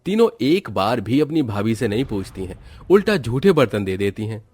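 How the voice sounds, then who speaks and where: A man's voice: mid-range voice, very animated, normal speed, a little mellow, slightly rough, no echo. A man narrating a scripted cartoon moral story as its storyteller.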